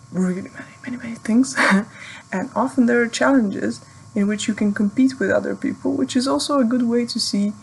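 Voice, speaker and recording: Young Serbian woman, native Serbian speaker, friendly advice tone, slight fry, faint hiss. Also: mid-range voice, poor audio. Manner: friendly, thoughtful, with light laughs. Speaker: PhD student giving advice. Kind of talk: recorded video message.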